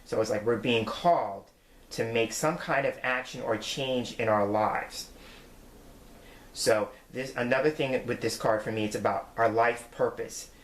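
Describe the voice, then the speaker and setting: A man's voice: mid-range voice, unhurried, fairly bright tone. A man in his forties, a tarot reader, giving his weekly forecast in an earnest, reflective way as he explains each card. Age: 40s. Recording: roomy echo.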